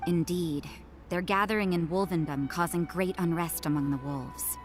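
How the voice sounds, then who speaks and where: An actress playing a cool, jaded nun in a game, blunt and detached with a deadpan delivery. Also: female voice, lowish voice, moderate pace, natural voice. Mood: cool, serious. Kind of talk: anime-style game voice acting. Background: game music.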